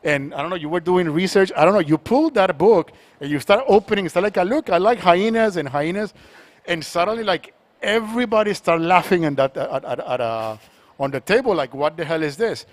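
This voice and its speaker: Halting Colombian-born man collector. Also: high voice, animated. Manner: halting, repeats words often, many restarts. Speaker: art collector. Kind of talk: panel conversation.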